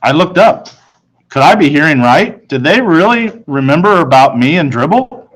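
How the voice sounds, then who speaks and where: A man's voice: on the high side, sing-song, normal speed, a bit bright, rough recording. Man reading a children's story aloud. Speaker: storybook reader. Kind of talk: children's audiobook read-aloud, first-person narration.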